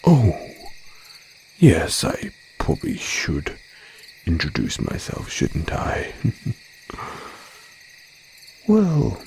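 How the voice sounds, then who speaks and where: A man voicing a haughty, courteous werebear with predatory charm, in an audio roleplay, speaking in a drawn-out, genteel, condescending way. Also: fairly low pitch, huge pitch swings, gravelly, a little mellow, slight background noise, heavily acted. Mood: suave, reassuring. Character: smooth, haughty male werebear, courteous yet predatory flirt. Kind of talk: audio roleplay monologue addressed to listener.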